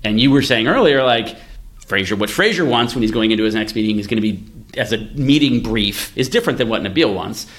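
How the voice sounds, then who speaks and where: A man's voice: mid-range voice, animated, fairly quick, a bit bright. A man hosting a casual tech discussion, explaining ideas by thinking aloud and posing rhetorical questions. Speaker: podcast host, venture investor.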